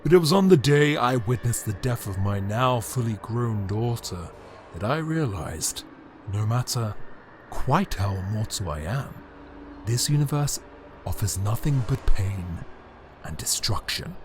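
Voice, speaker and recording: A man playing a bitter, power-hungry immortal alien emperor in a dramatic audio drama monologue, brooding and grandiose, with deliberate theatrical pauses. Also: mid-range voice, big pitch swings, music in the background. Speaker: reader of an audio story.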